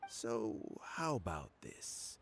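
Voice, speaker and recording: An actor voicing a courteous, wry old statesman in a video game, speaking in a formal, measured, grandfatherly way. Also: lowish voice, big pitch swings, gravelly, a bit bright, slight background noise, full character voice. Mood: measured, explanatory. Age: older.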